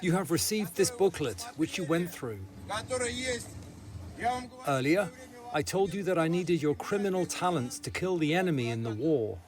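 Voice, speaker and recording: A man giving a direct, commanding, informal speech to a group as their leader. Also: a little high. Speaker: leader addressing released recruits.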